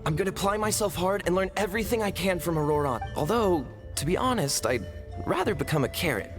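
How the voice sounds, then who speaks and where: A man's voice: high-pitched, animated, fast talker. An actor playing an eager, humble and slightly awkward young man in a video game, with music behind him. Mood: earnest. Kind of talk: video game character dialogue.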